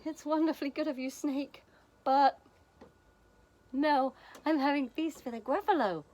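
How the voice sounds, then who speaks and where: A woman voicing a small, bold, quick-witted mouse with a chirpy, boastful manner in a storybook. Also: high-pitched, a little mellow, pitched above the performer's own voice. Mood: polite, sly.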